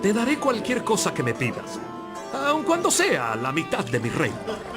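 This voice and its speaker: A grand, haughty king from a dramatized scripture recording. The voice rides high and swoops dramatically, declaiming every phrase. Music plays prominently underneath. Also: wildly swooping pitch, measured pace, full character voice. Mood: grandly generous. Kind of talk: dramatized audio Bible acting.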